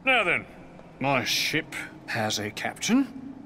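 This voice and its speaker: A swaggering pirate captain. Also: male voice, mid-range voice, wildly swooping pitch, slow, sharp tone, slight background noise, exaggerated voice. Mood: sly. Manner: theatrical, lilting, mock-grand.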